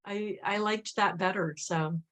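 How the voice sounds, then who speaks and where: A woman's voice: mid-range voice, fairly even intonation, a little slow, fairly bright tone. A woman hosting a podcast interview, chatting casually with frequent short acknowledgments. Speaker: podcast host.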